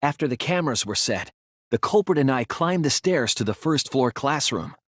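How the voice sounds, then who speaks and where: A man voicing an earnest, soft-spoken teenage detective in a video game, reasoning carefully through a case. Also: mid-range voice, expressive, normal speed, dry recording, studio quality. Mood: analytical. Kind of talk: video game voice acting, deductive exposition.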